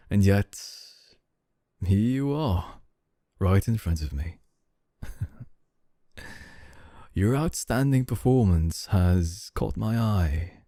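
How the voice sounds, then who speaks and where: A man playing a suave, dominant, flirtatious CEO in an intimate ASMR roleplay, speaking in a teasing, deliberate way. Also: dark tone, no echo, clean studio sound. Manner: slow, teasing, deliberate, with low chuckles. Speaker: ASMR voice actor.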